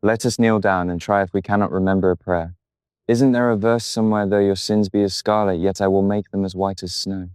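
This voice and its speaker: The horrified, devout friend in a gothic audiobook, imploring in a low voice close to the listener, acted by a man reading. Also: fairly even intonation, a little fast, darkish tone, clean tone, dry recording, lightly acted. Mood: pleading, earnest. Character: anguished, pious older friend, a male painter, pleading. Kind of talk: audiobook character voice.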